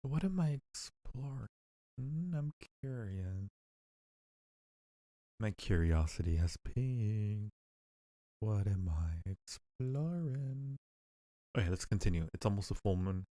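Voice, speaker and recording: A man's voice: lowish voice, lively intonation, slow, pauses often, warm tone, dry recording. A man hosting a live game stream, chatting casually with music playing behind him. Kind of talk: casual live chat while gaming.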